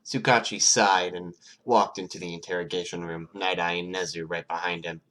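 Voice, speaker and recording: A low-pitched narrator reads fiction aloud. The delivery is scripted storytelling, in the manner of a homemade audiobook. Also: fairly even intonation, normal speed, fairly bright tone.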